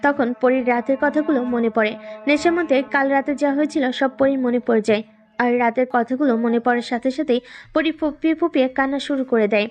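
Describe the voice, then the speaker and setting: Casting: female narrator, high voice, scripted third-person romance storytelling. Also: fairly quick, faint music behind.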